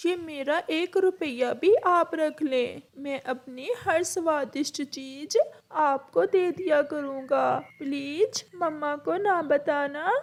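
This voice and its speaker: Cartoon big-brother bird, played by a woman: childish, headstrong, insistent, high-pitched, unhurried. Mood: pleading. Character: stubborn, hot-tempered young boy bird, older brother.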